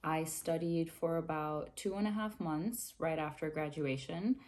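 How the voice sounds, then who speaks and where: A young woman from Zambia, a dental student, answering interview questions to camera in a measured, earnest way from a small room. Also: low voice, a little mellow. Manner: measured, earnest, explains with hand gestures. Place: small indoor room, plain wall.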